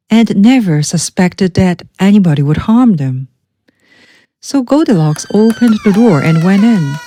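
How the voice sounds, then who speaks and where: A woman storyteller reading a children's storybook aloud in an expressive, measured way, from a script. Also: medium pitch.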